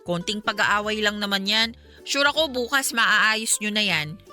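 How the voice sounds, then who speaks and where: A woman's voice: medium pitch, unhurried, pushed and bright, faint music behind. A woman acting a supportive young friend in a Filipino radio drama, consoling and advising conversationally with a Filipino accent.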